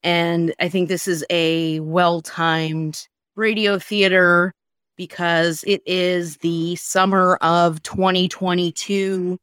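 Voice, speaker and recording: A woman's voice: on the low side, little pitch movement, takes it slowly, a bit bright, smooth, dry recording, studio quality. A woman co-hosting a podcast in casual, conversational chat, speaking with emphasis. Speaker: podcast co-host.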